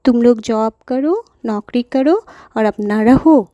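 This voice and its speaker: A woman's voice: takes it slowly, mellow, no room sound, muffled recording. A woman voicing an elderly grandmother who pleads tearfully with her family. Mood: pleading. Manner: high, imploring, weepy.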